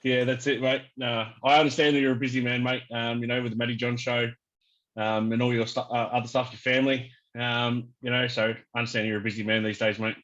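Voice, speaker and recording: A man's voice: medium pitch, level tone, a little fast, fairly bright tone, muffled recording. A man from Australia with a New Zealand-tinged accent, hosting a casual interview in a hesitant, deferential manner. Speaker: interviewer, fan page host.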